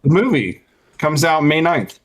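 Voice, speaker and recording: Male podcast co-host, conversational, slightly halting delivery, everyday register. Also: on the high side, sing-song, a crowd in the background. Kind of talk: casual chat about video games and films.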